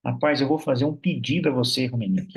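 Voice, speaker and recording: Church meeting host, husky-voiced man, chatty, over a video call. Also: medium pitch, moderate pace. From Minas Gerais, Brazil. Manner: warm, chatty, many fillers like "né". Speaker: host of an online prayer meeting. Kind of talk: casual church meeting hosting.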